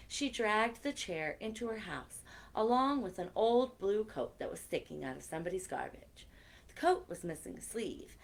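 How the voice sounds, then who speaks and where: A woman hosting a children's story time, reading aloud expressively and chatting directly to her young listeners. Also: a little high. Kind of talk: animated read-aloud for children with chatty asides.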